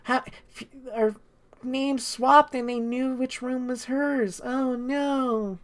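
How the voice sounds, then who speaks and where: A high-pitched, timid teenage boy from a video game, played by a man. He is polite and exaggeratedly innocent. He sounds fretful and shocked, as if close to panic. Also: fairly even intonation, takes it slowly.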